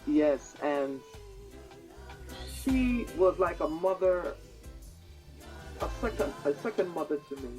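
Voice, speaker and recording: A woman with a British-tinged Jamaican accent, chatting as an interview guest in a studio, soft-spoken and halting, with many fillers and easy laughter. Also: on the low side, lively intonation, faint music behind, rough recording. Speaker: reggae singer, guest. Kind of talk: casual interview chat. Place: music studio.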